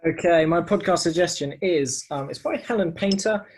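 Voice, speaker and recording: A man's voice: fairly high pitch, moderate pace, slightly rough. A man co-hosting a podcast, talking casually and conversationally. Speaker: podcast co-host.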